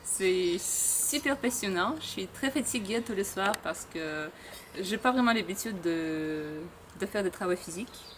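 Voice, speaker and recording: A young woman from Russia leading a volunteer camp, chatting to camera with many pauses and fillers. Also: medium pitch, animated. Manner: hesitant, many fillers, laughs often. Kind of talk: casual chat to camera. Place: outdoor boat workshop. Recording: noisy outdoor ambience.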